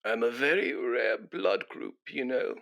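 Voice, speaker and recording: A man's voice: medium pitch, measured pace, vocal fry, a bit bright. A man acting a self-important, complaining hospital patient in a radio comedy. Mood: boastful chatter. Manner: pompous, complaining.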